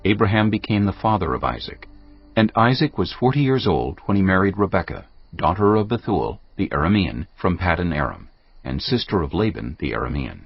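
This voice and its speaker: A man narrates scripture with a low, husky voice. He is measured but expressive, with wide rises and falls in pitch, in the style of a storyteller. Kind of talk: scripted dramatized Bible narration. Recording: dull audio, faint music.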